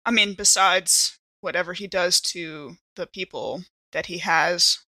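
A woman's voice: on the low side, unhurried, pushed and bright, no echo. A woman playing casually in a tabletop game, slipping between her own talk and her character's lines. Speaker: player in a tabletop game podcast.

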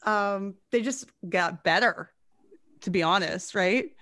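A woman's voice: medium pitch, slow, fairly bright tone, dry recording. Chatty woman host in her forties. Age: 40s. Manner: chatty and effusive, laughs often. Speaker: podcast host. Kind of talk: casual interview chat.

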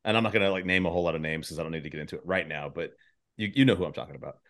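Low-voiced man chatting casually as podcast host. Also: rapid-fire, fairly bright tone. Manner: rambling, thinks aloud, swears casually. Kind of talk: casual chat.

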